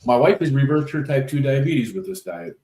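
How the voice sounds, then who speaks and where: A man's voice: mid-range voice, lively intonation, fairly quick, mellow, echoey room. A man in his fifties, a panel guest, speaking directly and matter-of-factly. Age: 50s. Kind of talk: casual chat.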